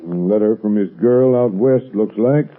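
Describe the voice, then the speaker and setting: An actor playing a brusque, irritable police commissioner with a husky voice in an old radio drama. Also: male voice, lowish voice, moderate pace, close to an everyday voice. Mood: brisk, official. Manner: brusque, weary. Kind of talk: 1930s-40s radio drama acting. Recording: tinny old radio recording.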